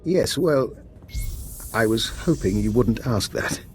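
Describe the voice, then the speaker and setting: Courteous, articulate game doctor over background noise. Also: male voice, medium pitch, lively intonation, takes it slowly. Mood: polite, persuasive. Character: polite, resourceful doctor scheming with a spy. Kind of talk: video game voice acting.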